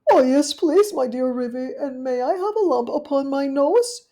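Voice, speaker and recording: A woman's voice: high-pitched, lively intonation, normal speed, warm tone, voice pushed up. A woman voicing an anxious, greedy little female dog guest in a storybook reading, eager and fussy.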